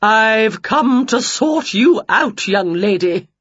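A woman's voice: on the high side, animated, fairly bright tone, husky, no echo, exaggerated voice. Woman voicing a bossy, drawling storybook witch aunt, slightly muffled. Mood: bossy, disapproving. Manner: drawn-out, scolding and condescending. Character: bossy, fussy, prim older witch aunt. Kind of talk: children's storybook character voice.

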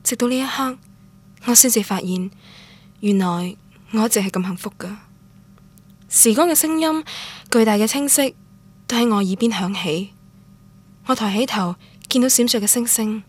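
A lovelorn young woman narrates her romance in a radio drama. Her Cantonese voice is slightly high and quite husky, with a sentimental temper. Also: normal speed, long pauses. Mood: tender, wistful. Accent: Cantonese, Guangdong. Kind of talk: radio drama inner monologue.

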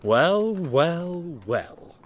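A confident, polite male detective from an audio storybook, performed by a male reader. He speaks slowly and with great assurance in a fairly high, expressive voice, shrewd and deliberate. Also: exaggerated voice. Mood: knowing, firm.

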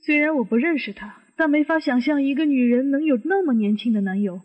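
An actress playing a suspicious middle-aged aunt who questions and reasons step by step in a Chinese audio detective drama. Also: female voice, high-pitched, brisk, close to an everyday voice. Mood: curious. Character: inquisitive, suspicious middle-aged aunt probing a supposed suicide. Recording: muffled, long hall reverb.

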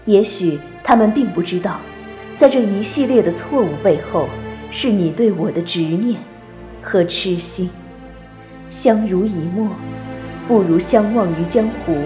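A woman's voice: mid-range voice, animated, a little slow. A woman narrating a scripted wuxia drama trailer in a storytelling style. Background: new-age background music.

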